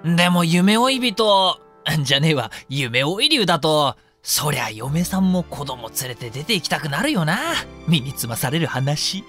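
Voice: mid-range voice, sing-song, fairly bright tone, faint music behind. A performer playing a cheeky, incredulous young man with slangy, exclamatory speech in a comedic voice drama. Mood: sympathetic. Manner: slangy, quick-reacting, exclamatory.

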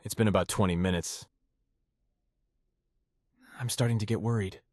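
A man's voice: fairly low pitch, fairly even intonation, normal speed, a little mellow, no echo, studio quality, natural voice. A man playing an awkward, wry teenage boy in a visual novel, both narrating and speaking in dialogue.

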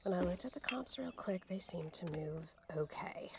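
A woman's voice: lowish voice, expressive, normal speed, gravelly. A woman chatting casually to viewers in a folksy, wry way while vlogging. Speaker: vlog host, reseller at yard sales. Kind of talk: casual first-person vlog chat to viewers. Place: yard sales outdoors, driveways and car trunk. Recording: noisy outdoor handheld action-camera audio.

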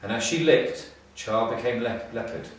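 A middle-aged man narrating a children's storybook in a measured, expressive way with pauses. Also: mid-range voice, a little hiss or hum. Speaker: storybook reader, school staff. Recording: echoey room, distant mic.

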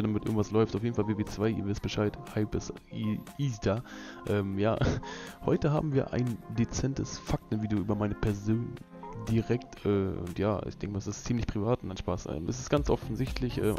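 Young male gaming YouTuber, relaxed and rambling, slightly low voice over a music bed. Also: a little fast. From Berlin, Germany. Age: young adult. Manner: rambling, laid-back, lots of fillers and laughs. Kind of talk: casual gameplay commentary vlog. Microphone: voice-over over gameplay.

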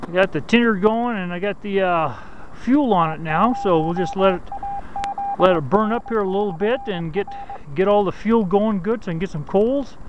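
A middle-aged man vlogging outdoors in snowy woods, talking to a handheld camera in a halting way, often repeating his words. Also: high-pitched, dull audio, noisy background. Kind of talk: casual bushcraft walkthrough. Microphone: handheld camera at arm's length.

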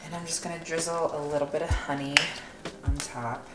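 A woman's voice: deep voice, fairly even intonation, fairly quick, loud music behind the voice. A young woman giving a casual, informal cooking demonstration, talking through each step as she works. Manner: informal, narrating each step while working. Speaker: vlogger demonstrating a recipe. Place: home kitchen, indoors. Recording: echoey room with clear kitchen clatter.

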